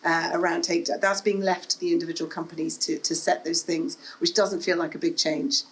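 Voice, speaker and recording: A woman speaking on a panel at an event, earnest and measured, with many fillers, into a handheld mic. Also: fairly low pitch, flat delivery. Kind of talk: panel discussion. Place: event room with an audience. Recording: echoey room with faint ambience.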